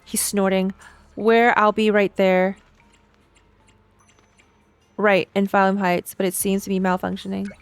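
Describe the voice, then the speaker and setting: A woman's voice: medium pitch, little pitch movement, unhurried, long pauses, clean tone, no echo, faint music behind. A woman livestreaming a game reaction, reading dialogue aloud and chatting with playful, teasing, slangy asides. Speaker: streamer reacting to a game story.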